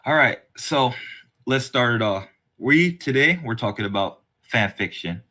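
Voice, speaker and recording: A man's voice: mid-range voice, animated, measured pace, bright, forceful tone. A man hosting a podcast interview in a casual, conversational and slangy way. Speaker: podcast host.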